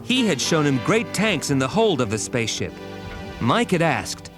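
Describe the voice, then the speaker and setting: Animated male storybook narrator over music. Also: on the high side, normal speed. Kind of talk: scripted dramatic storybook narration.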